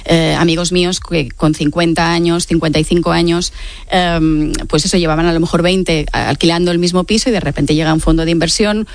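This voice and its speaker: A woman's voice: fairly low pitch, steady tone, a little fast, no room sound. A woman in her late forties with an accent from Spain, answering interview questions in a reflective, explanatory way with frequent tag questions. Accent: Spanish from Spain. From Mallorca, Spain. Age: late 40s. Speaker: author, interview guest.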